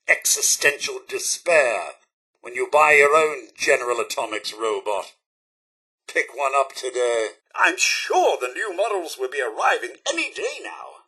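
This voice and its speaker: High-pitched, theatrical British robot butler. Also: male voice, pushed and bright, full character voice. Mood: politely regretful. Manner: formal, obsequious, crisply over-polite service patter. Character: polite, fussy male robot butler and shop attendant. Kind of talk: theatrical video game voice acting.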